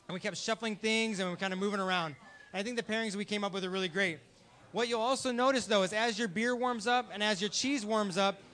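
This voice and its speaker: A man's voice: high-pitched, sharp tone. A man from Southern California hosting a live beer and cheese pairing, explaining tastes in a friendly, casual way. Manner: friendly, explanatory, some restarts. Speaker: host. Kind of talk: casual live tasting talk.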